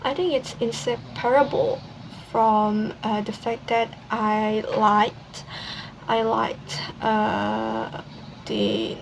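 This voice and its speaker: A young woman from Indonesia, a university student with an Indonesian accent, presenting casually in a second language with many pauses and restarts. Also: on the high side, vocal fry, low-quality recording. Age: young adult. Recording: steady background noise.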